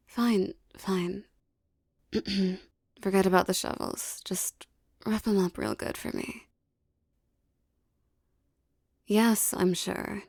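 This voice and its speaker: A woman playing a tough but affectionate female mafia boss in an intimate ASMR roleplay, with teasing, streetwise talk and a soft voice. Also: medium pitch, vocal fry, no echo, studio quality. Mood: commanding, irritated.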